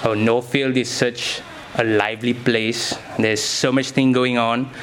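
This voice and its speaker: A man's voice: mid-range voice, flat delivery, a little slow, a bit bright, a little hiss or hum, poor audio. A young man, answering interview questions as a science teacher in simple, enthusiastic phrases.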